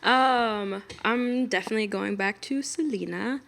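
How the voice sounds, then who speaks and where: A young woman from the United States, a singer guest on a podcast, talking in a relaxed, reflective way with frequent fillers. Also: fairly high pitch, a little slow, creaky. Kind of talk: casual interview talk.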